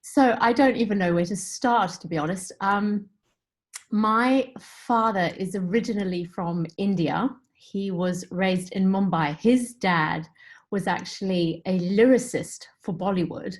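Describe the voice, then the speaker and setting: A woman's voice: mid-range voice, unhurried. Middle-aged woman musician, grew up in zimbabwe, chatting on a call, thoughtful storyteller, lots of "you know". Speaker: guest musician. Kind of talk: conversational interview.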